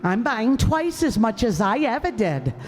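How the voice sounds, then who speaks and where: A high, shrill wife in an old-time radio comedy, played by a woman, who nags and needles her husband in sarcastic jabs. She scolds in a high, piercing voice that rings out through a big reverberant hall. Her bite can turn into tearful pleading. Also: sing-song, a little slow, a little mellow. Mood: scolding. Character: nagging, shrill wife, sharp-tongued and needy. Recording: stage recording with long hall reverb.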